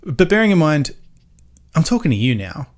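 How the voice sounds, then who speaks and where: A man's voice: fairly high pitch, normal speed. A man in his thirties from Western Sydney with a mild Australian accent, chatting loosely as a podcast co-host and often trailing off and restarting. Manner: relaxed, self-mocking, trails off and restarts. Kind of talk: casual chat.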